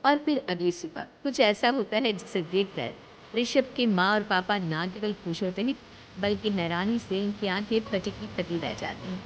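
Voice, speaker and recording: A woman's voice: mid-range voice, slight background noise. A woman narrating a scripted moral story as its storyteller. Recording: dull lo-fi sound.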